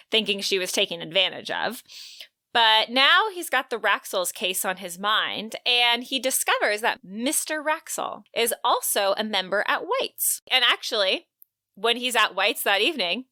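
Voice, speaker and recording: A woman's voice: medium pitch, bright, forceful tone, no echo, clean studio sound. A woman co-hosting a podcast, recapping and discussing a book. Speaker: podcast co-host. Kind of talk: book discussion with plot recap and read quotes.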